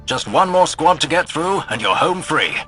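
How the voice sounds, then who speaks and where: An actor playing a confident, encouraging military commander in a video game, speaking in a direct, reassuring way. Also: male voice, a little high, lively intonation, moderate pace, pushed and bright, soft background music. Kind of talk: scripted video game dialogue.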